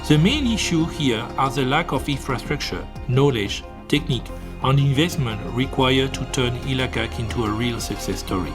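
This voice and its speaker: A man reads a documentary narration in a measured, formal voice at a middle pitch. Clear music plays underneath him.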